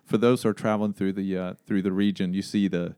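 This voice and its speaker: A man's voice: deep voice, steady tone, fast, dark tone, no room sound, studio quality. A man from Sweden with a Swedish accent, a native Swedish speaker, asking questions casually as an interview host.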